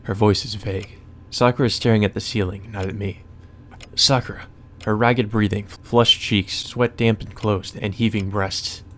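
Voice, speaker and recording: A man's voice: on the low side, fairly even intonation, moderate pace, a bit bright, slight background noise. A man hosting a let's play, reading a visual novel aloud and adding casual commentary. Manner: casual, profane asides. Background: music.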